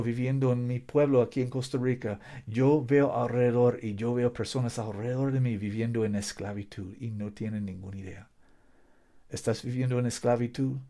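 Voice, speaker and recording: A man's voice: medium pitch, dark tone. A man preaching a sermon in Spanish, which is not his first language, repeating himself emphatically with frequent restarts. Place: home in Costa Rica.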